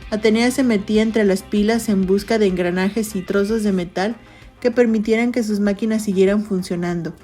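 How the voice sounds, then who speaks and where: Woman, mellow tone, medium pitch, scripted story narration, faint music behind. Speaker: audio drama narrator. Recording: music bed under voice. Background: background music.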